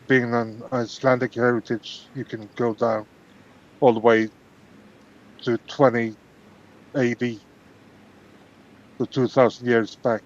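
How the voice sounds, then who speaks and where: A man's voice: medium pitch, flat delivery, takes it slowly, pauses often. A man from Norway with a Norwegian accent, joking around in a casual chat as a podcast guest. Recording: muffled lo-fi call audio.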